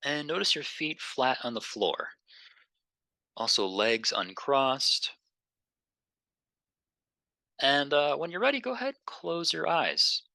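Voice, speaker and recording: A man leading a guided meditation in a gentle, unhurried, conversational way, pausing between phrases. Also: a little high, expressive, creaky, dry recording, studio quality.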